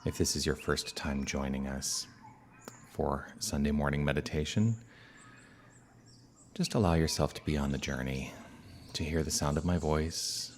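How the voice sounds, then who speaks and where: A man speaking as a minister leading a guided meditation, calm and unhurried, with long soothing pauses. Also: very deep voice. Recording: birdsong and outdoor ambient noise behind. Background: birdsong.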